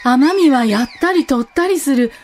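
High-voiced, outspoken island woman. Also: expressive, normal speed, darkish tone, soft background music. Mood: chiding. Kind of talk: radio drama acting.

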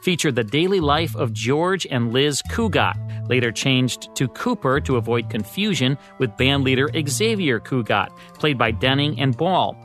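Deeper-voiced man hosting, over music. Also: expressive, moderate pace, fairly bright tone. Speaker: podcast co-host. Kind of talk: scripted narration.

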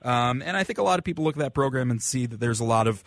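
A man's voice: medium pitch, lively intonation, racing. An American man hosting a sports radio show, setting up questions for panelists in an informal, explanatory, conversational way.

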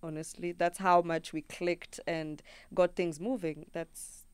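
Smooth-voiced Kenyan woman, animated. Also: fairly low pitch, takes it slowly, no echo. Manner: animated storyteller who acts out her own thoughts in quotes. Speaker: guest singer-songwriter. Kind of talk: casual interview chat.

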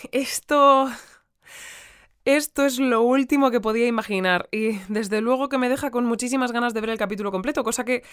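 An actress as an eager woman anchor fronts a fictional live bulletin in a crisp, fairly high voice with a Spain Spanish accent. She is chatty and a little flustered, recorded close and dry. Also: dry recording, close to an everyday voice. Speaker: news bulletin presenter. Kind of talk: live news bulletin parody in audio fiction.

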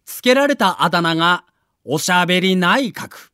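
High-pitched, expressive male documentary narrator. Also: normal speed, smooth, dry recording, studio quality. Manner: storytelling with dramatic emphasis. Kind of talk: scripted dramatic documentary narration.

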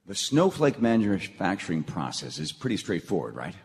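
A man's voice: deep voice, big pitch swings, normal speed, vocal fry, heavily acted. An actor playing an earnest, eager young man in a lighthearted radio play. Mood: earnest, persuasive. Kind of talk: lighthearted radio theatre acting. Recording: noisy, reverberant hall stage recording.

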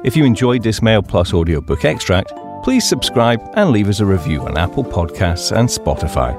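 Deep-voiced English man narrating expressively. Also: very animated, moderate pace, over loud music. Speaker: audiobook narrator. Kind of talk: scripted audiobook narration of a humorous memoir.

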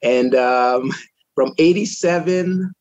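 A man from Trinidad, a guest on a talk show, relaxed in telling his own story with many fillers, speaking from his home over a video call. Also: a little high, takes it slowly, no echo, highs cut off. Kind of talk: personal testimony in casual chat.

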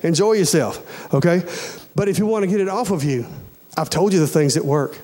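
Man in his forties, high-pitched voice, preacher, colloquial and emphatic, repeated phrases, direct appeals to listeners. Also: a little fast, dark tone. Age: 40s. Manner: addresses listeners directly with questions and urgings. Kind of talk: sermon to a congregation.

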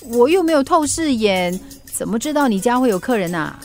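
A teasing, feisty food delivery worker in a radio drama. She has a high voice and a Singaporean accent, with pert comebacks. Music plays clearly behind her. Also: very animated, darkish tone. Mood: curious, pert. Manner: pert, quick to retort. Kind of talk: Mandarin radio drama acting.

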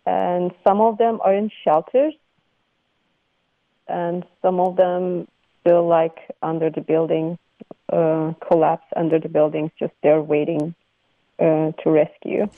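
A woman from Turkey with a Turkish accent, speaking as a guest on a news radio phone interview, halting with many fillers and restarts. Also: lowish voice, flat delivery, dry recording. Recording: phone line.

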